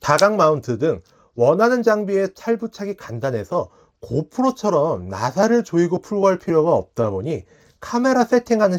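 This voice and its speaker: A man presents a scripted product review in a polite, explanatory manner. His voice sits a little high for a man and moves through very animated rises and falls. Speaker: tech review channel host.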